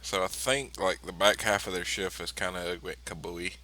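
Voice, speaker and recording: A man's voice: low voice, steady tone, normal speed, pushed and bright, poor audio. A man talking casually and informally as a player in a tabletop role-playing game, often feeling his way through a thought. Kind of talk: casual group chat during a tabletop game.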